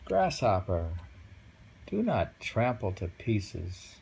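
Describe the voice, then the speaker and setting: Low gravelly guy reading poems and chatting, takes his time, bit of background noise. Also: big pitch swings, darkish tone. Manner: unhurried, musing, pauses over lines. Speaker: poetry reader and host. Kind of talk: casual poetry reading and chat.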